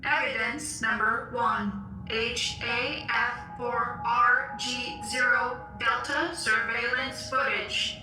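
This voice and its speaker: A woman voicing a calm, formal computer assistant in a video game, with a measured and impersonal delivery. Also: fairly high pitch, music in the background. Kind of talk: video game cutscene acting. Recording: echoey, with loud background noise.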